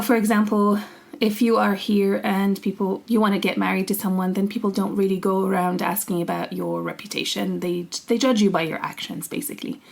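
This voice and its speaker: A woman's voice: mid-range voice, creaky, a little mellow. A young woman from Syria, co-hosting a podcast and telling personal stories in an earnest, casual way. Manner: earnest storytelling, laughs easily. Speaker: podcast co-host. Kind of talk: casual conversational chat.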